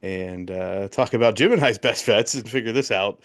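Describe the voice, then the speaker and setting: A man co-hosting a casual sports betting podcast, joking and reading off records and picks in quick bursts. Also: lowish voice. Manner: jokey, recaps bets in quick bursts. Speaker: podcast co-host.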